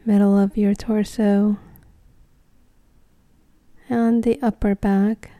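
A woman leading a guided meditation in a gentle, soothing, unhurried way, leaving long pauses between short phrases. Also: mid-range voice, level tone, dry recording.

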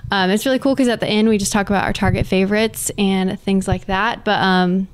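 Clear-voiced young woman, chatty podcast guest. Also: medium pitch, fairly even intonation, fairly quick. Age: young adult. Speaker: podcast guest, lifestyle influencer. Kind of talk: casual interview chat.

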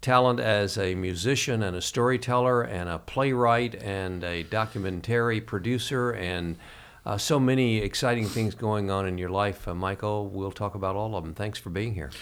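A man hosting an interview, speaking haltingly with many fillers. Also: fairly low pitch, clear, resonant tone. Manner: hesitant, many fillers, friendly. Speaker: host.

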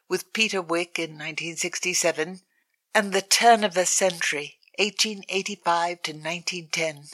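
Woman reading an obituary, bright clear tone, steady and measured. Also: on the low side. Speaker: reader.

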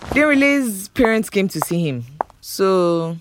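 A woman's voice: medium pitch, expressive, slow pace, background noise. An actress playing a cheeky, melodramatic young Nigerian woman in an audio drama, wheedling and mock-dramatic. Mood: weary, evasive.

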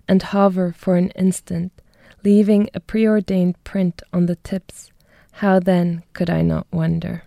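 A woman's voice: mid-range voice, creaky, mellow, dry recording, studio quality. A young woman from Germany reading her poetry and talking thoughtfully about her life, with light laughs between her words. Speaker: poet and guest. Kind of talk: poetry reading and interview answers.

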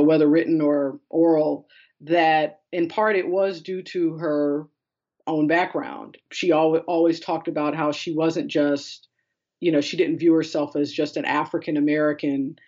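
A woman's voice: low-pitched, a little slow, a little mellow, highs cut off. A woman speaking as a curator in an interview, explaining in a discursive, conversational way. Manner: discursive, explanatory, quotes others in conversational paraphrase. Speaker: art curator being interviewed.

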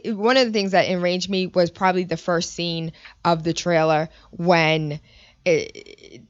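A woman hosting a morning radio show, interviewing a guest with emphatic, drawn-out phrasing. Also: sits low, dry recording, muffled recording.

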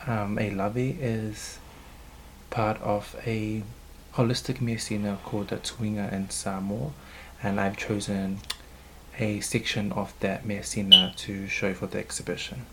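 New man, cultural leader, fairly low voice, measured and hesitant with ums, faint room hum behind. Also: flat delivery, pushed and bright, rough recording. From New Zealand. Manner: thoughtful, hesitant, frequent um fillers. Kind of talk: interview answers.

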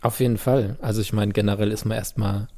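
Laid-back male musician guest, low gravelly voice, dark and husky, reflective casual interview tone. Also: steady tone, fast. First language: German. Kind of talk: candid casual interview talk.

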